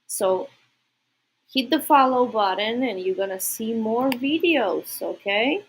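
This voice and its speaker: A woman's voice: a little high, animated, slow, breathy edge, rough recording. A woman from Russia with a Russian accent, chatting informally and directly to viewers as a livestream host. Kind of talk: casual livestream chat.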